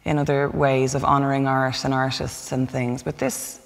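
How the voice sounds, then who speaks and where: A woman's voice: a bass voice, level tone, normal speed, vocal fry. A young Irish woman interviewing in a casual, conversational style. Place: large dim indoor hall. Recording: reverberant large hall.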